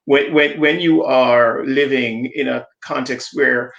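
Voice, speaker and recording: A man's voice: mid-range voice, steady tone, vocal fry, fairly bright tone, roomy sound, lo-fi sound. A man from Jamaica, a guest on a live-stream discussion, speaking thoughtfully with frequent restarts over a video call. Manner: thoughtful, halting with many restarts. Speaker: diversity consultant guest.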